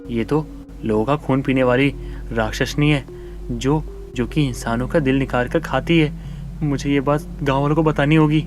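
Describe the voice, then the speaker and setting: A man playing a frightened villager thinking to himself in an animated story, agitated and dramatic. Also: medium pitch, moderate pace, soft background music, natural voice. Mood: horrified. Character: frightened mute villager's inner voice, anxious man. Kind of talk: animated story inner monologue.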